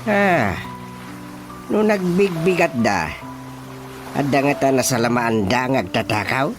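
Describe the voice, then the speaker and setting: A man playing an easily flustered village chairman in a radio drama comedy, with an Ilocano accent and exclamatory, drawn-out reactions. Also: mid-range voice, expressive, close to an everyday voice. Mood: puzzled. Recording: music under the voice.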